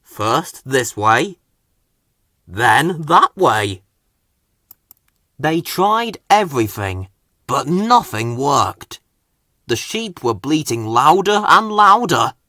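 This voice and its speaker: A man reading a children's story as narrator, in a measured, expressive way. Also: medium pitch, dry recording, studio quality. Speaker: storybook reader.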